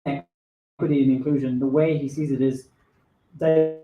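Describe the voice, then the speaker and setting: A man on a live café panel, enthusiastic in his praise while pausing to find his words. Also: medium pitch, fairly even intonation, roomy sound, narrow, tinny audio.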